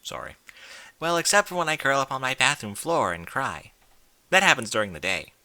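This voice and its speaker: A man's voice: mid-range voice, very animated, a little fast, pushed and bright. A man reading fan fiction aloud in an informal, blunt way, with incredulous asides in casual speech. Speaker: fan fiction reader and commentator.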